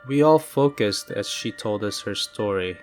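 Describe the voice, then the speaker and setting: Male horror-story narrator, low voice, measured delivery over faint music. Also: expressive. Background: faint eerie music.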